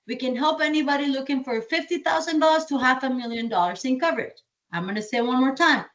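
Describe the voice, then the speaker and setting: Colombian-accented woman leading sales training. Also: high-pitched. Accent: Colombian Spanish. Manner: energetic, repeats key points, asks and answers own questions. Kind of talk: training presentation.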